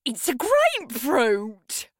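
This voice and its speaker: Children's story mum, acted by a woman: high, swooping, doting, pleading, coaxing, dry close recording. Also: wildly swooping pitch, slow pace, no room sound, full character voice. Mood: encouraging. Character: doting, pleading mother coaxing her fussy son.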